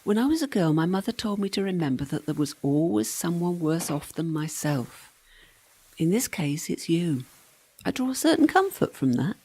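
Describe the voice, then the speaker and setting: A woman's voice: lowish voice, big pitch swings, moderate pace, warm tone, slightly rough, heavily acted. An actress playing the ghost of a serving girl in a radio play, practical and teasing, with a dry, matter-of-fact manner. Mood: wry, matter-of-fact.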